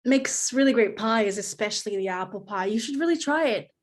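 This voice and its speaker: A woman playing a nervous, polite waitress who hides her strain, soft-spoken and hesitant, in a rehearsed screenplay read. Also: on the high side, lively intonation, brisk. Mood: polite, tentative.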